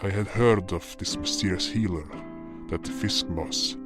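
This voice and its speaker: A man's voice: low-pitched, unhurried. A man narrating a scripted first-person fantasy story as its storyteller and voice actor. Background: music.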